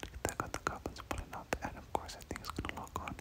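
A whispering game streamer gives ASMR play-by-play in a casual, slangy way. The voice is breathy and unvoiced at a close mic, often repeating little words and phrases. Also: fast. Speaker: gaming video host. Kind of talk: whispered ASMR gameplay commentary.

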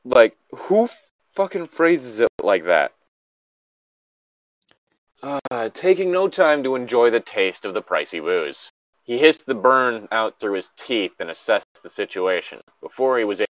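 A man's voice: fairly low pitch, lively intonation, unhurried, no echo. A man reading a story aloud and bantering casually about it as he goes. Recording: dull lo-fi microphone.

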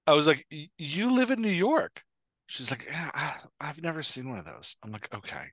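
A man in his forties with a very lively, swooping delivery and a bright, forceful voice. He banters casually as a podcast host, joking at his own expense, close and dry on a headset mic. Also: on the high side, normal speed, no room sound. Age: 40s. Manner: jokey, self-deprecating. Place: indoor room, on a video call.

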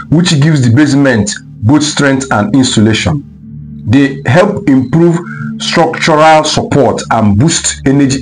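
A man with a mid-range voice narrates a scripted documentary voice-over. He reads each step in a steady, explanatory way. Faint music plays behind him. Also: medium pitch, rough recording. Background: faint background music.

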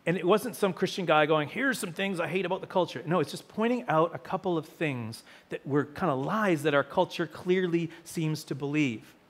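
A man with a sore, hoarse throat preaching from a lectern to a small congregation, his voice sitting a little high for a man. He slips into animated quoted voices while telling a story, then settles into plainer teaching. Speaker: pastor. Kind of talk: sermon. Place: small church hall with seated congregation. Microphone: lectern mic, far camera.

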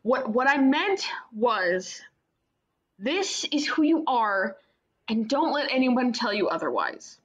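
A woman's voice: on the high side, very animated, slow, dull audio. A woman playing a caring but blunt sister in a radio play, teasing and insistent with her brother. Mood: insistent, supportive.

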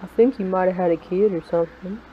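A woman's voice: lowish voice, fast, close to an everyday voice. A woman playing an obedient, laconic outlaw henchman in a western audio drama. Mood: dutiful. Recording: dull, slightly noisy recording.

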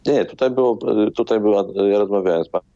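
Male medical guest, forties, low mellow voice, halting explanations, dull video-call sound. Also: no echo. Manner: hesitant, repeats words as he explains. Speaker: doctor, hospital chief interviewed as guest. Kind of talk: live radio interview answers.

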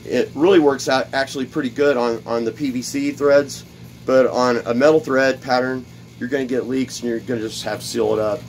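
A man's voice: mid-range voice, fairly even intonation, a little fast, slight background noise, rough recording. A man in a cluttered home workshop, explaining a build step by step in a casual, rambling way, with plenty of fillers and repeated words. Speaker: DIY video host. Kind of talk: casual workshop how-to demonstration.

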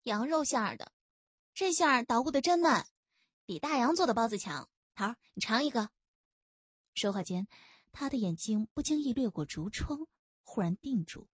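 Female audiobook narrator for historical fiction: expressive, lively intonation, husky edge, dry studio sound. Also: fairly high pitch, no echo.

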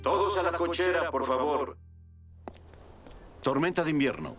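An actor playing a steady older father and rescue chief in a cartoon dub, speaking with authority. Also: on the high side, unhurried, lightly acted. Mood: commanding. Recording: very loud music behind the voice.

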